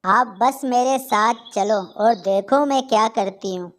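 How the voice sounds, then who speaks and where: A high-pitched female bird from a children's moral-story cartoon, acted by a woman. She speaks as a talking storybook character in a cartoon dub. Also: unhurried, natural voice. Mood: scheming. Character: female birds: gentle little sparrow and scheming crow.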